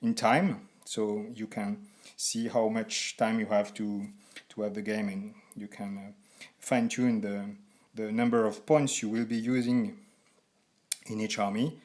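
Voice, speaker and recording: A man in his forties with a French accent, haltingly explaining a board game in non-native English as a reviewer. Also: on the low side, steady tone, darkish tone. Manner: halting, deliberately slow speech for learners.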